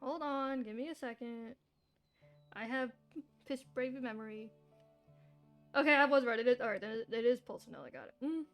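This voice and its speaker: A woman game streamer with a high voice. She reacts live in a casual, slangy, irreverent way and swears freely, with game music in the background. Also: normal speed, pauses often.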